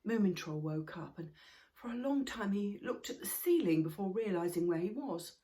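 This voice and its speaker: A woman narrating a children's story expressively and deliberately, sometimes dropping into a hush. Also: medium pitch. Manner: expressive, deliberate, with hushed passages.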